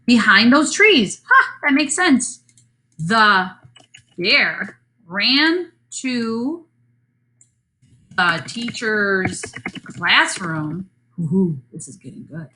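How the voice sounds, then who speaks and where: A woman's voice: medium pitch, big pitch swings, drawn-out words, pauses often, bright, forceful tone, slight background noise, poor audio. A woman teacher giving a lesson to children, thinking aloud as she writes a story, with playful asides. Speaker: elementary school teacher.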